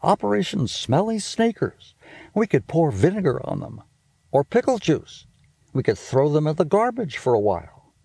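A high, squealing schoolgirl voice that leaps up and down, exasperated and bossy toward her little brother, as a man puts her on for an audiobook. The tone is mellow and a little husky, recorded close and dry. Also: sing-song, normal speed, no room sound, heavily acted. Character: bossy, teasing big-sister girl.

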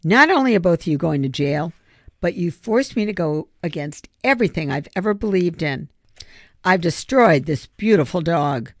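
A woman's voice: lowish voice, expressive, moderate pace, no echo. A woman voicing an indignant schoolgirl animal lover who scolds emphatically in an audiobook story. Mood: angry, hurt.

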